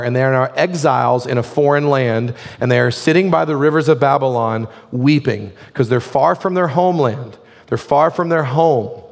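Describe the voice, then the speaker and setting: A man preaching a sermon as a pastor, his voice hoarse and his delivery conversational, full of "like" fillers. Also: mid-range voice, long reverb tail.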